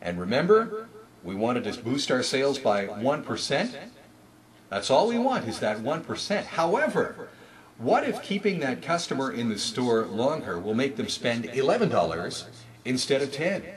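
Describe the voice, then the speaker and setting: Guy doing a training talk, salesy and emphatic, really lively up and down pitch, crowd sounds in back. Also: moderate pace. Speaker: store announcement trainer. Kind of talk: instructional talk with demonstrated announcement scripts.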